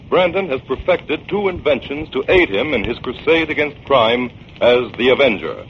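A man announcing in a dramatic, scripted 1940s radio narration style. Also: fairly high pitch. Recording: muffled, noisy 1940s radio recording.

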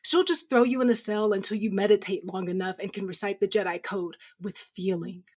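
Actress as a blunt, exasperated sci-fi comrade. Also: female voice, mid-range voice, a little fast, darkish tone, breathy edge, close to an everyday voice. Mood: exasperated, challenging. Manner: blunt, confrontational, sardonic. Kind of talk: audio drama dialogue.

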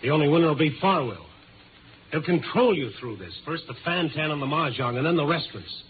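An actor playing a tough, world-weary Chicago newspaper reporter in a 1950s radio noir drama, clipped and blunt. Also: male voice, fairly high pitch, expressive, fairly quick, slight background noise, close to an everyday voice. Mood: urgent, insistent. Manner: hard-boiled, clipped, blunt. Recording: tinny 1950s radio recording. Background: music.

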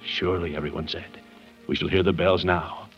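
A man's voice: low-pitched, level tone, a little fast, faint music behind, lightly acted. An American actor in 1950s radio style playing a kindly, sheepish middle-aged man who tells a Christmas story expressively and unhurriedly. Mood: earnest, reverent. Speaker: lead actor of a radio comedy. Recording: dull vintage 1950s radio recording.

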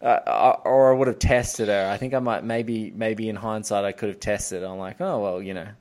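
Male, lower-pitched. Keen film-podcast host, conversational, analytical, happy to go off on tangents. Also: fairly even intonation, normal speed, darkish tone. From Australia. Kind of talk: casual film discussion.

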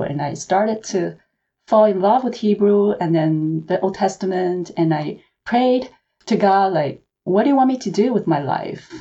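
A woman from Hong Kong speaks in a low, mellow voice with a gravelly edge. She answers interview questions reflectively, at an even, thoughtful pace. The remote recording sounds dull and lo-fi. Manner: measured, reflective storytelling. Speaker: author and seminary professor.

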